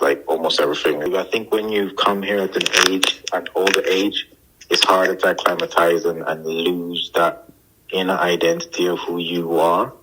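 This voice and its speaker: A man, answering interview questions thoughtfully and pausing to find his words. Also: low voice, bright, forceful tone, slightly rough, poor audio. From Guyana. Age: 30s. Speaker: interview guest.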